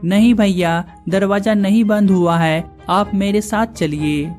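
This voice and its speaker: A performer plays a young village man in a cartoon moral tale, the earnest youngest brother. He speaks politely and imploringly in a mid-pitched voice over background music. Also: slow, darkish tone, natural voice. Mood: reassuring. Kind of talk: cartoon moral story dialogue.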